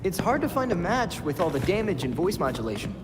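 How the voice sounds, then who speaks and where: An actor playing a brainy, tech-minded young sidekick in a video game, with an American accent and an earnest, analytical delivery. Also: male voice, sits high, very animated, fast. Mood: earnest, puzzled. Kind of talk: video game cutscene acting. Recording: long reverb, music behind.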